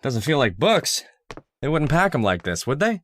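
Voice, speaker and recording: An actor playing a moody, homesick man at a remote outpost, colloquial and expressive in old-time radio drama style. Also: mid-range voice, moderate pace. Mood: excited. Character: moody, homesick, touchy male outpost partner.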